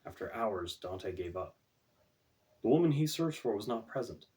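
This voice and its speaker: A man's voice: fairly low pitch, expressive, moderate pace, a little mellow. A man reading his own fantasy novel as scripted audiobook narration, recorded away from the microphone.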